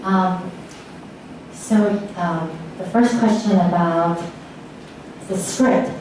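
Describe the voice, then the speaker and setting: A woman speaking as an academic, answering audience questions after a lecture in a hesitant, thoughtful, measured way. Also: on the low side. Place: large echoing lecture room, distant mic. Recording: echoey hall from far off, faint noise.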